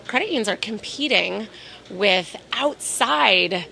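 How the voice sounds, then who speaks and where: A hoarse woman speaking in an interview at a conference, talking in a measured, businesslike way and slowing down to stress her points. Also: mid-range voice, animated, sharp tone, slight background noise. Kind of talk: casual interview. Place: at a conference in New York City.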